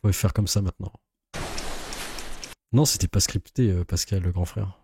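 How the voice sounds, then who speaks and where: A man's voice: low-pitched, fairly even intonation, normal speed, background noise. A man giving casual, sardonic, complaining live commentary as a streamer. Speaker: streamer, reaction host.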